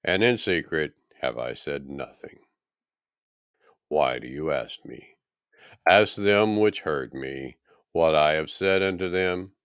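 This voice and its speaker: A man acting out a resolute male holy teacher in a scripture story. The voice is deep and smooth, with slow, solemn delivery. He challenges his accusers calmly and deliberately. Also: no room sound, studio quality, exaggerated voice. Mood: calm, firm.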